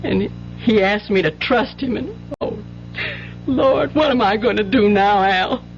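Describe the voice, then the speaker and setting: A woman's voice: medium pitch, a little slow, faint music behind, natural voice. An actress playing a weary, hard-working, plain-spoken mother with a folksy manner in old-time radio drama. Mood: anxious, despairing. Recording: dull, noisy old radio recording.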